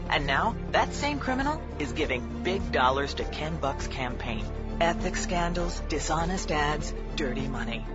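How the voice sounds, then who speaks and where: A male announcer reads a punchy, accusatory political attack ad. Music plays clearly behind him, and the sound is dull and lo-fi. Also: on the high side. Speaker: political ad narrator. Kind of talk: scripted attack-ad voice-over.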